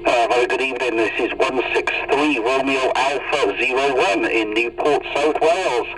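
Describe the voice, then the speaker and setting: A man's voice: medium pitch, normal speed. Man over very noisy CB radio. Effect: CB radio transmission. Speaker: CB radio operators calling in. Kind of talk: informal CB radio chat with procedural jargon. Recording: very noisy, distant CB radio reception. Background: loud radio noise.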